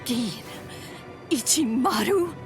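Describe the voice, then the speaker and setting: A woman voicing an earnest, distraught young captive warrior in an anime game dub, halting and intense. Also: high-pitched, expressive, heavily acted. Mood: stunned, uneasy. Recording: echoey hall reverb, music behind.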